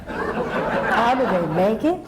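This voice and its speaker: A woman playing a brisk, mildly exasperated mother of a schoolboy in a live old-time radio comedy sketch. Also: a little high, very animated, over a noisy crowd. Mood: bemused. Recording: live ballroom event, faint noise.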